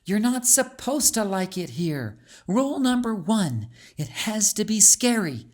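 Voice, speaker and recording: A man's voice: high voice, sing-song, a little slow, pitched above the performer's own voice. A man voicing a plucky, bossy, adventurous young girl in an audiobook, confident and coaxing. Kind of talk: audiobook character voice.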